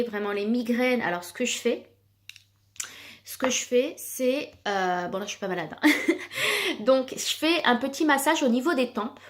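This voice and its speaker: A woman with children, chatting casually while demonstrating a cream she sells, full of fillers and repeated words. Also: fairly high pitch. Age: adult with children. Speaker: product seller vlogger.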